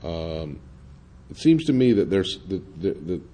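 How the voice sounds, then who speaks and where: A man's voice: low-pitched, big pitch swings, slow pace, mellow. A man speaking as a panelist at a public forum, hesitant with many fillers and restarts.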